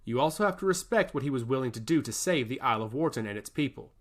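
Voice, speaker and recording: A man's voice: mid-range voice, lively intonation, fast talker, close to an everyday voice. A man voicing a smug, teasing god-touched emissary in a fantasy story, with a needling, theatrical manner. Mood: insistent. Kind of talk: fantasy audio story character.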